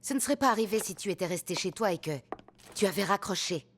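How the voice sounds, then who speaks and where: A woman's voice: mid-range voice, expressive, fast, slight background noise, lightly acted. A woman voicing a smug, condescending female cartoon villain who taunts in a theatrical way. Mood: mocking. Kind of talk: animated series dub.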